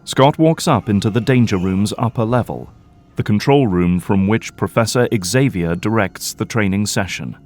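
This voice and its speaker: A man narrating an audio drama from a script in a measured, dramatic storytelling manner. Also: on the low side, a little mellow, faint music behind, lightly acted.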